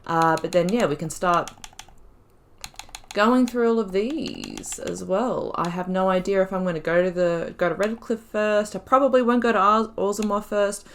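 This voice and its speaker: A woman's voice: medium pitch, normal speed, slight background noise. A woman giving casual gameplay commentary as the host, chatty and giggly, thinking aloud as she goes. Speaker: gaming YouTuber, host of a playthrough.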